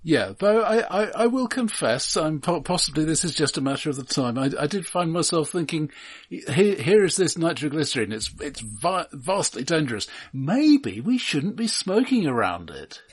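A man's voice: fairly high pitch, big pitch swings, normal speed, breathy edge. A man co-hosting a film podcast, chatting casually with dry, wry humor. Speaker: podcast co-host.

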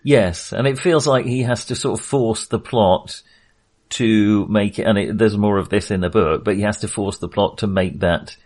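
A man co-hosting a film podcast in casual discussion, with a halting, hesitant and amused way of talking. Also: fairly low pitch, a little mellow.

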